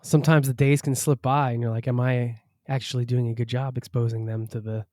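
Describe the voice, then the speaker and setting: A man's voice: mid-range voice, flat delivery, brisk, warm tone, no echo, clean studio sound. A man hosting a podcast who asks curious, chatty questions. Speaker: podcast host. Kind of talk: casual interview chat.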